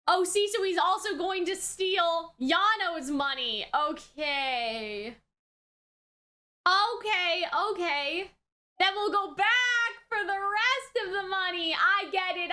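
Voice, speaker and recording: Very high, bright-voiced woman reacting casually. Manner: animated, exclamatory, slangy, thinks aloud. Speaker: reaction video host. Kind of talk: casual excited reaction commentary on an anime episode.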